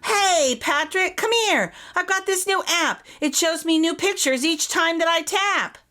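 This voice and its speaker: Woman as an excitable, very high-pitched storybook boy. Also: measured pace, heavily acted. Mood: excited, insistent. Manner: excitable, pushy. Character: eager young boy hooked on phone apps. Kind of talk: children's storybook character voice.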